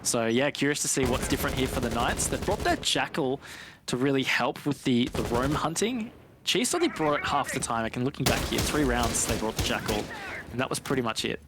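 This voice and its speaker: A man's voice: medium pitch, expressive, fairly quick, noisy background. A man commentating live on an esports match in an analytical style. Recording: game gunfire and clicks behind. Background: video game gunfire and clicks.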